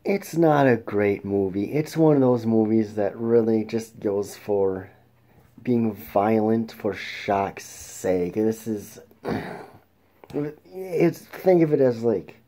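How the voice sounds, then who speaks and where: Slow, halting man reviewing a film. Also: on the low side, dark tone. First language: English. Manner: halting, many restarts and throat clears. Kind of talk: casual film review monologue.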